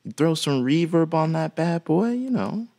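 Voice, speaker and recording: A man with a deep voice teaching music production in a relaxed, folksy way, with many "uh" fillers. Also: moderate pace. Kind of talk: casual software tutorial.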